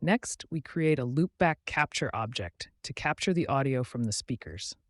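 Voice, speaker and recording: A man narrating a scripted tutorial as its host, in a measured, instructional way. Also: mid-range voice, animated, no echo.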